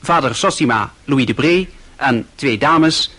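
Husky, formal male radio announcer. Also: medium pitch, pushed and bright. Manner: formal, measured. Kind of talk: formal scripted announcement of cast credits.

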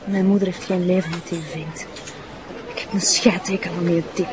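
A woman's voice: fairly low pitch, little pitch movement, normal speed, background noise, natural voice. A woman playing a bitter, defiant young runaway addict in a TV drama, with a Flemish accent and a coarse, contemptuous way of talking. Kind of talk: naturalistic TV drama acting.

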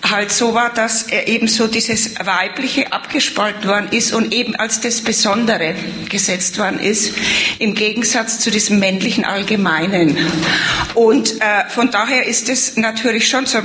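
A woman on a panel thinks through a theory question out loud. The room rings around her voice, with faint noise behind it. She speaks at a middle pitch, searching for her words. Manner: thoughtful, a bit self-conscious at the mic. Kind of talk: spontaneous theoretical explanation. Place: meeting room with an audience. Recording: echoey room.

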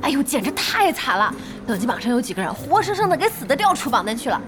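Casting: fiery, expressive young woman boss for audio drama; high, very animated voice, music underneath. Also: fast. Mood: amused. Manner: exclamatory, expressive. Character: lively, fiery female internet cafe boss, a doting fan. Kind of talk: Chinese audio drama acting. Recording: music behind the voice.

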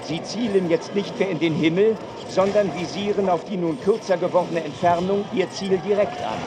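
An actor playing an intense battlefield reporter delivering live commentary in a radio play. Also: male voice, high voice, normal speed, lightly acted. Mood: intense, grave. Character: intense male battlefield reporter watching the archers. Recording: loud outdoor battle noise behind.

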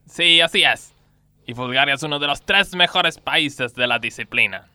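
A man's voice: a little high, normal speed, pushed and bright, clean tone, dry recording. A man voicing an earnest, emphatic Bulgarian woman greengrocer in a radio comedy sketch. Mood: earnest, proud.

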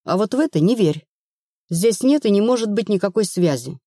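A woman narrator playing a brisk, rational female investigator in an audiobook, blunt and assured. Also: medium pitch, lively intonation, warm tone, no echo, studio quality, lightly acted. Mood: reassuring. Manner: matter-of-fact, assured.

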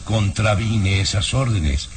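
A man's voice: fairly low pitch, slow pace, background noise. A man acting a haughty middle-aged baron in an old Mexican radio serial, with a pompous, insistent, formal manner. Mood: evasive, smug.

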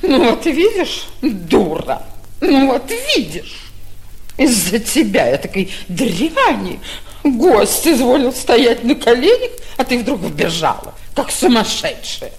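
A woman's voice: fairly high pitch, sing-song, takes it slowly, a little mellow, exaggerated voice. An actress playing a vain, affected middle-aged provincial mother, haughty and theatrical, in a vintage radio stage comedy. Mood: scolding. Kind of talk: classic stage comedy acting, radio play.

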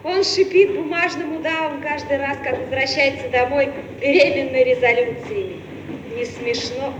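High-pitched theatrical woman, played by an actress, echoey old recording. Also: normal speed, far from the mic, lightly acted. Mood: mocking, gossipy. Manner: animated, theatrical. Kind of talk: mid-century Soviet radio theatre acting. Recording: muffled, echoey, hissy old radio-play tape.

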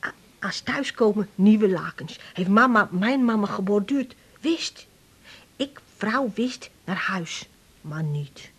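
An actress playing a grateful, homesick Turkish migrant wife in a radio drama, with a Turkish accent, speaking in halting, broken sentences. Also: female voice, medium pitch, lively intonation, slightly rough, full character voice. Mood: gently insistent.

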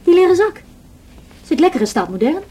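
An actress playing a frank, self-assured young student in a radio play, informal and unembarrassed. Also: female voice, very high-pitched, very animated, brisk, warm tone. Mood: breezy. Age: young adult.

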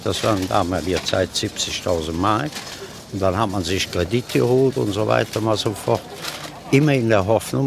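A man's voice: lowish voice, creaky, background noise. A middle-aged market trader, a man, recounting his own experiences in a plain-spoken way. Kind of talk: personal recollection in conversation.

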